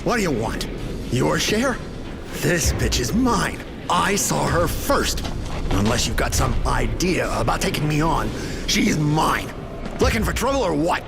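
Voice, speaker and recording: An actor playing a crude, menacing gang-leader bully in a video game, with a taunting, sneering swagger. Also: male voice, on the high side, big pitch swings, unhurried, vocal fry, full character voice. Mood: taunting, aggressive. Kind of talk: video game cutscene acting. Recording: music playing behind the voice.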